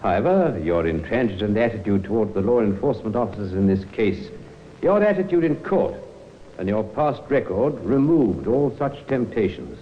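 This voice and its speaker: An actor playing a stern, lofty judge passing sentence formally in an old time radio drama. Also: male voice, lowish voice, sing-song. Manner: formal, measured, severe. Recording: muffled old radio recording with hiss.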